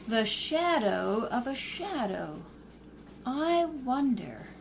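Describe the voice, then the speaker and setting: An actress playing a poised young woman sleuth in a 1930s-style radio drama, polite and quick-witted, then indignant. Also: fairly high pitch, very animated, creaky, full character voice. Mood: charming.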